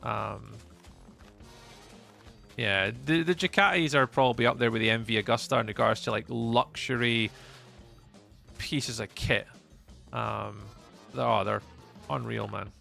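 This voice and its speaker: A man's voice: mid-range voice, a little slow, creaky, sharp tone, soft background music. A Scottish man streaming live, chatting casually with his viewers in a rambling way, full of fillers and restarts. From Scotland. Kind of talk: casual chat with live chat while gaming.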